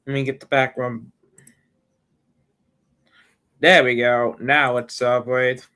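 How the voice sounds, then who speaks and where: Young male streamer, mid pitch, smooth tone, loose rambling delivery. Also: flat delivery, slow, pushed and bright. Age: young adult. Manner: rambling, restarts sentences often. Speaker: livestreamer. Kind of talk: casual livestream chat.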